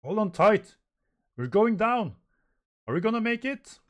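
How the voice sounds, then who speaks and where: Man acts out a frantic manga adventurer: raised pitch, loud, urgent cries. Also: sing-song, a little slow. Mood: alarmed. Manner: urgent, crying out. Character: panicked, shouting adventurer aboard a falling ship.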